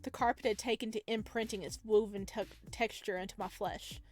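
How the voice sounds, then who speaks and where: A woman hosting a gaming video, reading visual novel text aloud and commenting in an expressive, chatty way. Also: mid-range voice, a little fast. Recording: music behind the voice. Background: background music.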